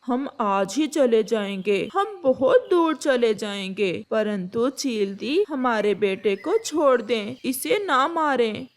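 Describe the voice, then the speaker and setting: A woman's voice: on the high side, animated, slow, few pauses. A woman voicing a sorrowful, submissive parent bird in a cartoon, begging deferentially. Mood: resigned, sorrowful.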